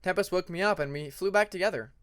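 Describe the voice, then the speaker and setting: A man's voice: high voice, quick pace, a bit bright, smooth. A man voicing a polite, modest young male dragon student in a fan fiction audiobook. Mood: polite, reassuring.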